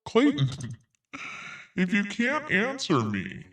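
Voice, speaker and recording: A man hosting a commentary podcast, reading messages aloud with biting sarcasm. His voice sits a little high and jumps around in pitch as he lingers over the words. He sounds set back from the microphone, with faint music underneath. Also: huge pitch swings, extremely slow, fairly bright tone, distant mic. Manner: sarcastic, swearing, laughs through reading. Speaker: podcast host.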